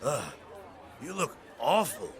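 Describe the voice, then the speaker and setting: An actor playing a jovial, tipsy, wine-loving drinking companion in an audio drama, boisterous and drawling. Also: male voice, medium pitch, wildly swooping pitch, slow, a faint crowd.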